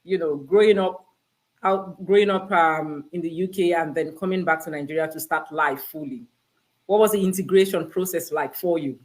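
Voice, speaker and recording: A woman's voice: fairly low pitch, moderate pace, a little mellow, poor audio. A woman hosting a live online interview, chatty and polite, with plenty of "you know" and "um" fillers. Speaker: host.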